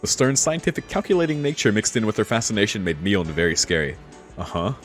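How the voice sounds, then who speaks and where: Low-voiced man doing amused game commentary. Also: very animated, fast talker, soft background music. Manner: amused, slangy. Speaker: streamer doing a let's play. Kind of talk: casual game commentary, reading lines aloud.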